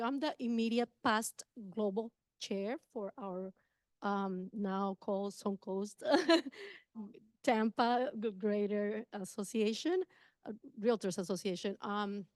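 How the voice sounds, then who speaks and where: Middle aged costa rican lady, clear voice, dry sound, informal guest speech. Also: medium pitch, a little mellow, no room sound. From Costa Rica. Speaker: guest speaker, real estate agent. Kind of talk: informal personal introduction to an audience.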